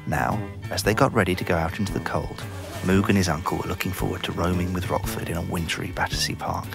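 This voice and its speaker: A man's voice: low voice, little pitch movement, fast, gravelly. A man narrating a scripted children's story. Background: music.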